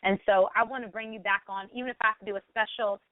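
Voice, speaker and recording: A woman's voice: mid-range voice, steady tone, quick pace, dry recording, phone-quality sound. A woman hosting a radio talk show, speaking in an effusive, complimentary manner.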